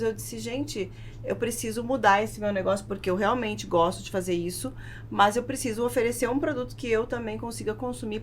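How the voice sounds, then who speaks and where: A woman with a Brazilian accent telling a personal story as an interview guest, in an emphatic and deliberate way. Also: a little high. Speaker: podcast guest, food business founder. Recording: clear background noise, room ambience.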